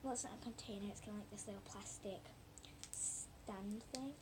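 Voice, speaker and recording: A woman chatting casually and haltingly through a hands-on demonstration for viewers, with a hoarse, breathy voice that keeps thinning into a whisper. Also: mid-range voice, steady tone. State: hoarse, losing her voice. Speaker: slime video maker talking to viewers. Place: at home indoors. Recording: noisy amateur home recording.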